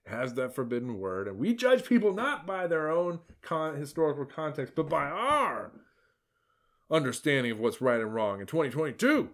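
A man's voice: fairly high pitch, big pitch swings, normal speed, a bit bright. A man hosting a podcast, talking through his views in a rambling, sarcastic way with many fillers and restarts. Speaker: podcast host. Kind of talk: opinion podcast commentary.

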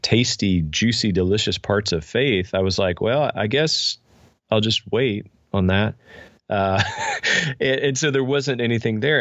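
A man's voice: lowish voice, dry recording. A man speaking reflectively and conversationally as an interview guest, on a somewhat lo-fi recording. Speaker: podcast guest, spiritual director and author. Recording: dull, lo-fi, dry sound.

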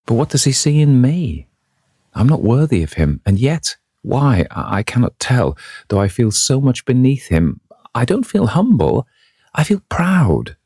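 A man's voice: fairly low pitch, a little mellow, breathy edge, dry recording, clean studio sound, pitched above the performer's own voice, exaggerated voice. A man voicing an eager, lovestruck young woman in a storybook reading, gushing and breathless.

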